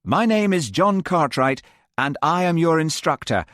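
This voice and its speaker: A man voicing a nervous, brightly cheerful male fishing instructor. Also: a little high, lively intonation, unhurried, dry recording, clean studio sound, pitched above the performer's own voice.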